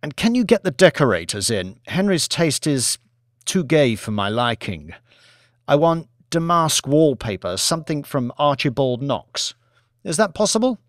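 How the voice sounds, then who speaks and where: A man's voice: on the high side, sing-song, measured pace, no echo, clean studio sound, voice pushed up. A man voicing a coolly scheming executive in an audiobook, insistent and decisive as he gives orders. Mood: brisk, decisive. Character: commanding, coolly scheming male executive newly in power.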